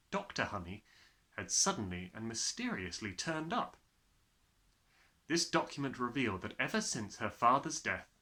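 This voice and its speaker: A man narrates a children's book as an audiobook reader. His voice is bright and forward, with very animated pitch movement that gives the telling an expressive shape.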